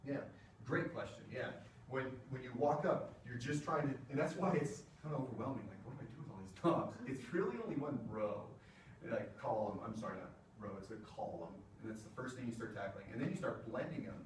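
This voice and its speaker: A man's voice: medium pitch, fairly quick. A man giving a casual classroom lecture on sound equipment, explaining with plenty of fillers and asides, recorded from across the room. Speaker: music technology presenter. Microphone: room mic from a distance. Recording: echoey classroom from far off, faint hiss.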